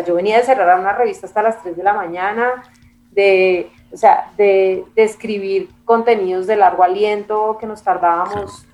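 A woman's voice: mid-range voice, darkish tone, low-quality recording. A woman from Bogotá with a Colombian capital accent, speaking as an interview guest in a casual, reflective and emphatic way, repeating phrases for stress. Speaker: interview guest, music and culture magazine editor.

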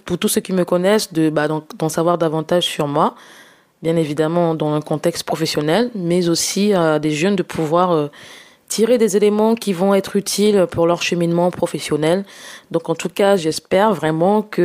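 A woman's voice: on the low side, a little mellow. A young woman from Gabon, a podcast guest, telling her career story in a hesitant way with many fillers and restarts. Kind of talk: casual personal storytelling.

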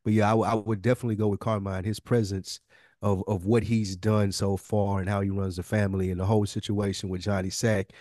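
A man's voice: fairly low pitch, level tone, a little fast, darkish tone, no echo, studio quality. A man co-hosting a podcast in casual conversation, laid-back and slangy in how he talks. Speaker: podcast co-host.

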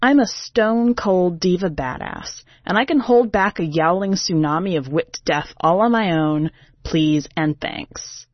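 A woman's voice: on the low side, measured pace, no room sound, dull audio. A woman reading a first-person story as a brash, sardonic singer, in a slangy, emphatic, conversational way. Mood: brash, boastful. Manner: sassy, slangy, irreverent, emphatic. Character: brash, sardonic urban woman singer, self-declared diva, telling her tale.

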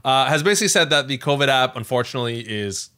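A man with a Canadian accent and a bright, crisp-edged voice of middling pitch. He talks casually as a podcast co-host, feeling his way through sentences with plenty of hesitations and filler words. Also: expressive, fast. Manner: hesitant, rambling, frequent "like" and "you know". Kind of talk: casual tech news chat.

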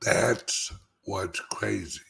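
A man with a low, raspy voice hosts an informal commentary for his followers. He speaks extremely slowly, with long dramatic pauses and gravelly fry. He leans hard on key phrases and repeats them for emphasis. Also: a bit bright. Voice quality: speech impediment. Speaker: cryptocurrency commentator and show host.

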